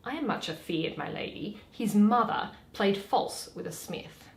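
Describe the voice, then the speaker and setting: Woman playing witty, weary young noblewoman, very animated. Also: mid-range voice, gravelly, voice pushed down. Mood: mocking. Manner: dramatic, deliberate, expressive. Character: Portia, witty weary young noblewoman, mocking her suitors. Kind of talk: theatrical Shakespeare reading. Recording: echoey room.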